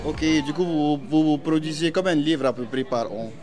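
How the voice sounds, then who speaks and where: A man's voice: fairly high pitch, moderate pace. Man, twenties, student reporter, informal interviewing, handheld mic, music in background. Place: busy book fair, outdoors and in booths.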